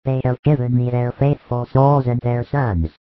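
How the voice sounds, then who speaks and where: A man voicing a calm, kind holy teacher with authority, solemn and reassuring, in a dramatized religious story. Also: mid-range voice, smooth, dry recording, close to an everyday voice. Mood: kindly, firm. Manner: solemn, reassuring, measured phrasing. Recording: dull lo-fi recording.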